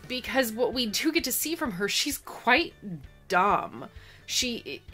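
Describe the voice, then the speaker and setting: A young woman talking casually in a reading vlog, thoughtful and halting, drawing out words for emphasis. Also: medium pitch, fairly bright tone. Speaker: book vlogger.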